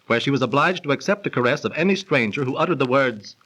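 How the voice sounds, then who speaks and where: A man's voice: medium pitch, expressive, quick pace, a bit bright. An actor playing a genial, learned young man who explains legends in polished, theatrical 1930s radio style. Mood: storytelling. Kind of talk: 1930s radio acting and storytelling. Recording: old 1930s radio transcription recording.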